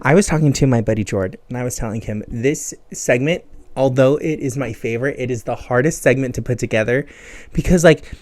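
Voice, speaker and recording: A man's voice: mid-range voice, fairly quick, a little mellow, no echo. A man hosting a podcast in a casual, enthusiastic and emphatic monologue, recommending music in a chatty way. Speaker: podcast host.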